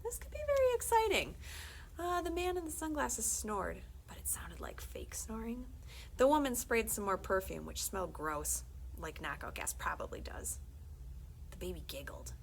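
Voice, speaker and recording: A woman reading a children's book aloud as a librarian, conversational and expressive, often dropping into hushed, confiding tones. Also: a little high, normal speed, creaky, a little hiss or hum, rough recording. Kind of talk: animated read-aloud of a children's book with brief asides.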